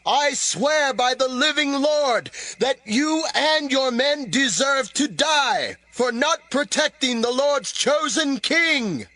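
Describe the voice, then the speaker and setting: An actor playing a bold, devout young warrior in an audio drama, with drawn-out, declamatory delivery. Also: male voice, extremely high pitch, sharp tone, no room sound, heavily acted. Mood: accusing, shouting.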